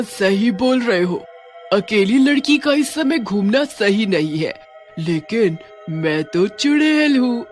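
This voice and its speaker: A bloodthirsty witch played by a woman from a cartoon horror tale, gloating over her prey. She taunts with slow, drawn-out menace, with music playing underneath. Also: medium pitch. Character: bloodthirsty gloating witch who hunts travellers. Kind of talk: Hindi animated horror story.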